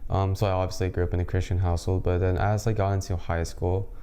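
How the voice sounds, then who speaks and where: A young man from Los Angeles County in casual panel chat, animated, with laughing asides. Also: low-pitched, fast, smooth. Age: young adult. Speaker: student panelist.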